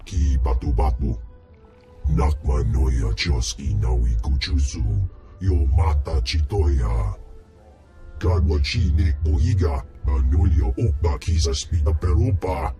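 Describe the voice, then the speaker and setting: A rumbling alien crime lord drawls in a made-up tongue. His voice sits very low and dark, each word dragged out slowly and heavily, with faint music behind. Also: lively intonation, exaggerated voice. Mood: domineering. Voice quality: deep rumbling alien speech. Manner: slow, menacing gibberish tongue. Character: bloated alien male crime lord, slow and domineering. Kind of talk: video game cutscene acting.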